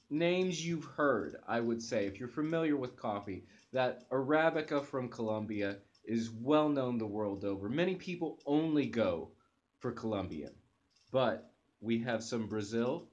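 A young man talks slowly and eagerly into his webcam from a living room sofa, reviewing a coffee. His voice sits at an ordinary pitch, and he stumbles through fillers and restarts as he goes. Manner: enthusiastic, hesitant restarts and fillers. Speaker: channel host reviewing coffee. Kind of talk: casual product review to camera. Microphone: webcam at close range.

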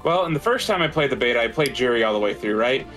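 A man's voice: mid-range voice, animated, quick pace, a bit bright. A man commentates an online fighting game tournament in a casual, conversational way. His voice sits in a medium register, with faint music playing underneath. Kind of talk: casual esports commentary and banter.

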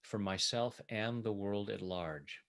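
A man working as a tapping coach, leading guided affirmations in short, measured phrases meant to be repeated. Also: lowish voice, gravelly, fairly bright tone.

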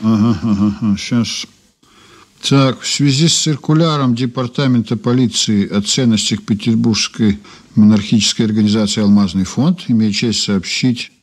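Actor as deliberate, wry investigator in radio drama. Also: male voice, lowish voice, close to an everyday voice. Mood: curious, intrigued. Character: brisk male investigator reading old reports aloud, wryly intrigued. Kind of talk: Soviet radio drama acting.

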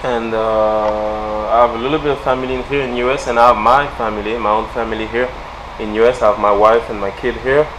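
A young man with a French accent, a fighter being interviewed in a large indoor gym, speaking haltingly with many fillers. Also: medium pitch, steady tone, background noise. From France. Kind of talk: casual interview.

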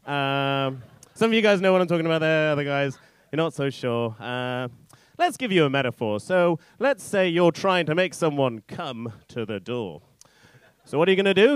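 Rambling male stand-up with an animated delivery. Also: medium pitch, clean tone, dry recording. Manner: rambling, halting, sweary. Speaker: stand-up comedian. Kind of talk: stand-up comedy set, informal crowd banter. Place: on stage in a small indoor comedy club. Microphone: handheld stage microphone.

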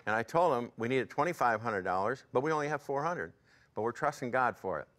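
A man's voice: medium pitch, lively intonation, a little fast, fairly bright tone. Plain-spoken man in his sixties. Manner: plain-spoken storyteller, fervent. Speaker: ministry board member, guest. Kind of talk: interview testimony. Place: television studio set, indoors.

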